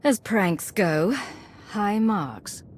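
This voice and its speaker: A woman voicing a cool, pragmatic commander in a video game, with a husky voice and dry, understated delivery. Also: medium pitch, animated, drawn-out words, darkish tone, slight background noise. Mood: wry.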